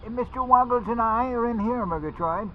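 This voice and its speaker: A man's voice: very high-pitched, brisk. Thin, muffled, childish grown man. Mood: whiny. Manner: sulky, stubborn. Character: childish, petulant, whiny male president-elect. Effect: muffled as if behind a door. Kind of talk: comedic audio drama acting. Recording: thin, band-limited, faint noise.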